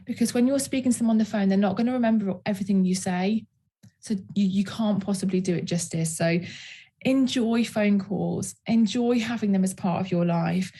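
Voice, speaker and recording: A calm, measured and reassuring woman with a slightly husky voice, giving a sales training presentation. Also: mid-range voice. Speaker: guest trainer for direct-sales team. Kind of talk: training presentation over video call.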